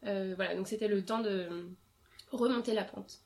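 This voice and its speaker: A woman's voice: medium pitch, fairly even intonation, moderate pace, a little mellow. A young woman from France, interviewed about her family and childhood, talking in a casual way with many fillers and restarts.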